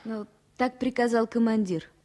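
An actress playing an earnest, composed but tender young airline stewardess in a Soviet radio drama. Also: female voice, a little high, moderate pace, dark tone, lightly acted. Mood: quiet, tender. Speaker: actor in radio play. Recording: noisy old radio play recording.

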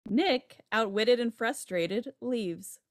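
A woman's voice: on the high side, slow pace, dry recording, studio quality. A woman reading stage directions as scripted narration for a table read. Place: home room, video call.

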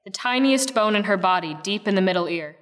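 A woman playing a wry, measured narrator in a radio play, reading like a storybook. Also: mid-range voice, animated, long reverb tail, close to an everyday voice. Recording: noisy recording with clicks.

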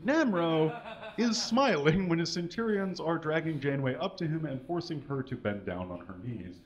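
A man giving a live comedic reading of fan fiction on a small stage, mostly dramatic with deadpan asides, reading punctuation aloud. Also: a little high, moderate pace. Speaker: comedic reader. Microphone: stand mic. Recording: dull, lo-fi live recording.

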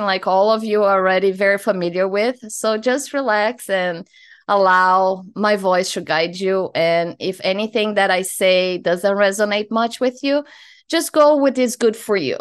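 A woman's voice: mid-range voice, moderate pace, clean tone. Chatty Brazilian woman on a video call. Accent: Brazilian Portuguese. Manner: friendly, chatty, laughs often, with small slips in English. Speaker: hypnotherapist host. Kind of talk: casual introduction on a video call. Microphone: headphones on a video call.

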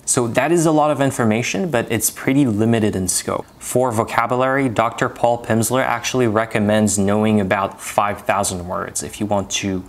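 Young native French speaker, lowish voice, earnest step-by-step explainer, lapel mic at home. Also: male voice, steady tone, moderate pace, hardly any pauses. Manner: earnest, methodical, gestures while talking. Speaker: language-learning YouTuber. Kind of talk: explanatory to-camera lesson. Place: home room with bookshelves.

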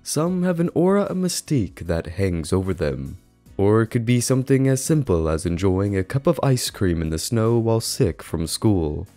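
A man reading scripted video essay narration in a measured, formal manner. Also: fairly low pitch, very animated, dark tone, no echo. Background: background music.

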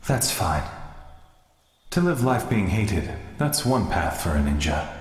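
A man playing a stoic, brooding young ninja and protective older brother in an English anime dub, calm and grave. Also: low-pitched, slow pace, heavily acted. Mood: gentle, resigned. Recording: echoey reverberant hall, music behind.

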